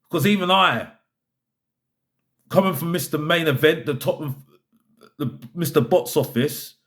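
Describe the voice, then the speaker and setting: A man's voice: on the high side, normal speed, a bit bright. A man giving an opinionated football fan rant, exasperated and emphatic, repeating his phrases to drive points home. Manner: exasperated, fed up.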